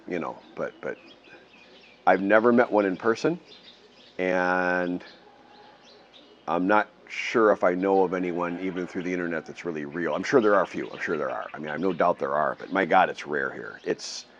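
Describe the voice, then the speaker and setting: A man's voice: low-pitched, normal speed, fairly bright tone, dull audio, a little hiss or hum. An older man from the Midwestern US talking casually to camera, rambling, correcting himself and chuckling as he goes, outdoors under a metal roof. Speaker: vlogger living abroad.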